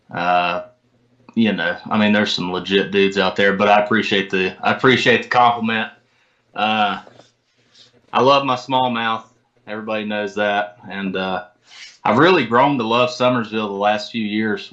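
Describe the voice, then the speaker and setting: A man's voice: on the low side, sharp tone. An American man chatting casually and modestly as an interview guest, over dull lo-fi audio. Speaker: interview guest, competitive angler.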